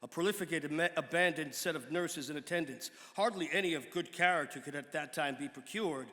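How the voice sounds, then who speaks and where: A man giving a measured, formal dramatic reading of an old historical pamphlet. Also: a little high, bright, forceful tone. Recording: long hall reverb.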